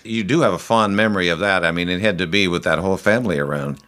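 A male interviewer with a very deep voice asks steady, gentle questions. He keeps the conversation moving, prompting an older guest through his memories. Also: fast talker. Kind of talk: oral history interview.

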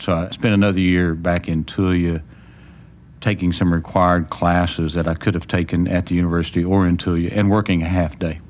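A man from small-town Texas with a Texan accent, giving plain-spoken, measured recollections as an interview guest. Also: low-pitched, darkish tone. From small-town Texas, United States. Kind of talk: reflective personal recollection in an interview.

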